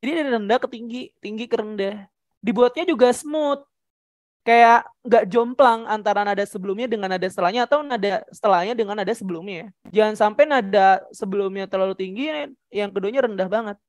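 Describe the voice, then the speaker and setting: A woman's voice: medium pitch, fairly quick, a little mellow, no room sound. A woman from Jakarta teaching public speaking in an interactive online lesson, instructive and emphatic, stressing key words. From Jakarta, Indonesia.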